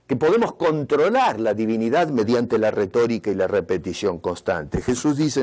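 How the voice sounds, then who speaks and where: An Argentine man preaches to his congregation in a voice of ordinary middle pitch. He talks in an earnest way and keeps turning to the listeners with rhetorical questions. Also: darkish tone. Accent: Argentine Spanish. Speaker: pastor. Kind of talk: sermon. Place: church retreat in Canada.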